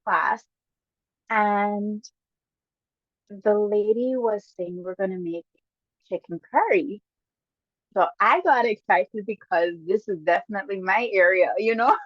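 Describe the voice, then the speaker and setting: A woman with a Guyanese accent, a guest casually telling stories with lively bursts and frequent laughs. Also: mid-range voice, no echo. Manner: lively, laughs often, quick bursts when excited. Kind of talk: casual storytelling chat. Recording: dull lo-fi dry sound.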